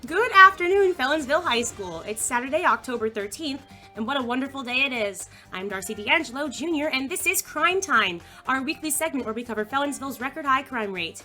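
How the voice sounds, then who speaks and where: Teen girl school anchor adult woman acting, audio drama; sharp high voice, gossipy and bossy broadcast delivery. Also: expressive, brisk, faint music behind. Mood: peppy, brash. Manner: peppy broadcast delivery breaking into bossy outbursts.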